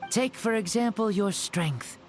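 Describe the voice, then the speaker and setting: A man voicing an older, proud and genial warrior spirit in a game cutscene, speaking in a measured, grand way. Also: high voice, soft background music. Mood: warm. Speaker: game character.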